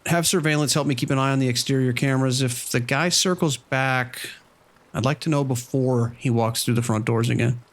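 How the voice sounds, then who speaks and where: A man playing a calm, cautious casino manager in an audio drama, giving instructions in a casual, steady way. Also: medium pitch, fairly quick, natural voice. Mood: calm, businesslike. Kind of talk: radio drama dialogue.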